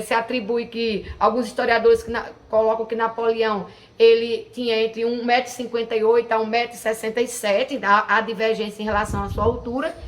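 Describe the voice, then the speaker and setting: A woman hosting a history channel, explaining in a chatty, informal way with many fillers and restarts. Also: fairly high pitch. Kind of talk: casual educational talk to camera.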